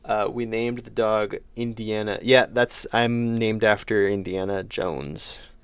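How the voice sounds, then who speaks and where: Young man, deep gravelly voice, losing his voice, rambling livestream chat, dry close mic. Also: steady tone, takes it slowly, a bit bright, no echo. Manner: rambling, self-correcting, laid-back. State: losing his voice, slightly ill. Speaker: indie game developer streaming. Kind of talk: casual livestream chat answering chat.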